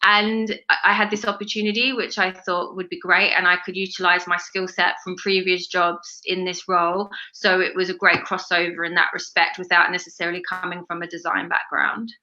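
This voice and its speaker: Australian woman in her forties, bright voice. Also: on the low side, little pitch movement, a little fast, few pauses, muffled recording. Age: 40s. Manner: rambling, many fillers and restarts. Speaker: interview guest, fashion brand founder. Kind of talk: casual interview talk.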